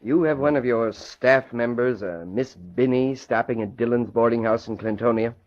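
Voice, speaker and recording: An actor playing a brisk, worried federal agent in an old radio drama, questioning in an official manner. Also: male voice, medium pitch, darkish tone, close to an everyday voice. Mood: businesslike. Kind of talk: 1940s radio acting. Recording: muffled old radio recording.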